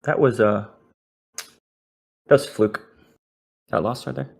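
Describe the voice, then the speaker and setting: A young man streaming a game live, reacting excitably and swearing as he plays. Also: mid-range voice, takes it slowly, vocal fry, breathy edge. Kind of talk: live gaming commentary.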